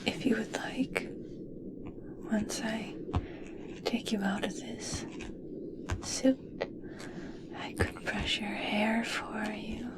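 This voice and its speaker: A woman playing an adoring, obsessed scientist in an ASMR roleplay, speaking in a soft, breathy whisper with a gentle, halting, fixated manner. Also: fairly high pitch, level tone. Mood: tender fascination. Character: obsessed, adoring female scientist studying a captive merperson.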